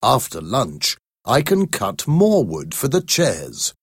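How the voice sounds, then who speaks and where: A woman voicing a gruff man set on cutting down a tree, in a low, husky put-on voice for a storybook read to pupils. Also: wildly swooping pitch, takes it slowly, no room sound, studio quality, exaggerated voice. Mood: content.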